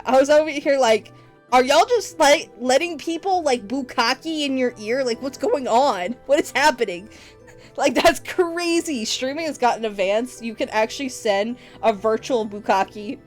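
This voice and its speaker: A woman's voice: high voice, expressive, unhurried, soft background music. Playful, giggly woman streamer. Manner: playful, teasing, laughs often, says y'all. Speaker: livestreamer. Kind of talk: casual stream chat with viewers.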